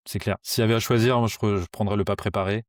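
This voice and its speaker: Deep-voiced man, hesitant chat. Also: steady tone, very fast, dry recording, clean studio sound. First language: French. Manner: hesitant, many fillers and restarts. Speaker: sales coach. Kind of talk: casual podcast conversation.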